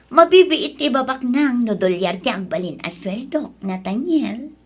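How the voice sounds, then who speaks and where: High, husky, self-assured Ilocano sweetheart. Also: female voice, animated, unhurried. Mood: ambitious, dismissive. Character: confident, ambitious young girlfriend, sweet-talking and self-assured. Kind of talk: Ilocano radio drama acting.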